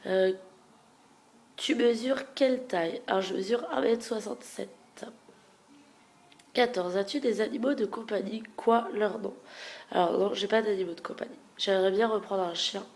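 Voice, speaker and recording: A young woman with a stuffy head cold and a nasal, congested voice, chatting frankly through a question-and-answer video and often trailing off into soft murmurs. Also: mid-range voice, moderate pace. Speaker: beauty vlogger. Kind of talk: casual Q&A tag video.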